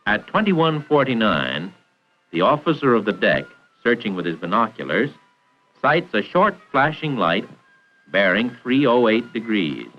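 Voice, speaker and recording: Male American narrator, 1940s training film: formal, precise, instructional, animated intonation, dull vintage soundtrack. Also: fairly low pitch, measured pace, soft background music.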